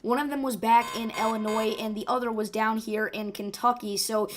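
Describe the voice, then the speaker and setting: A woman hosting a live severe weather stream, her voice hoarse and rough, talking urgently and informally with many fillers and restarts. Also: fairly high pitch, steady tone, moderate pace, noisy background. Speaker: severe weather livestream host.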